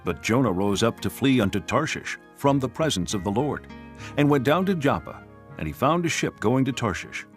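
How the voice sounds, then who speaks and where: A man's voice: medium pitch, very animated, normal speed, faint music behind. A man giving solemn, scripted narration for a dramatised scripture reading.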